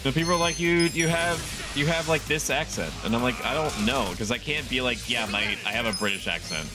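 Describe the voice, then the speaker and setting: A man's voice: mid-range voice, expressive, a little fast. Chatty man streaming over game music. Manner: rambling, chatty, laughs often. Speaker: VTuber streamer. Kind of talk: casual live-stream gameplay chat. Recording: game music playing under the voice.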